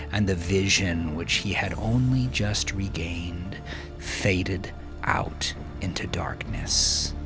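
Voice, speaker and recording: A man reading scripted fiction in a dramatic, measured way, dropping into hushed, breathy phrase endings. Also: sits low. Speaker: audiobook narrator.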